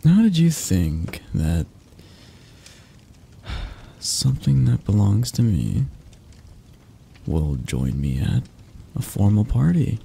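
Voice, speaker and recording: Casting: male actor as a domineering mafia boss for ASMR roleplay, dark husky voice, flirty and patronizing. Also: on the low side, big pitch swings, slow pace, long pauses, heavily acted. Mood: possessive, condescending. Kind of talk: intimate ASMR roleplay acting.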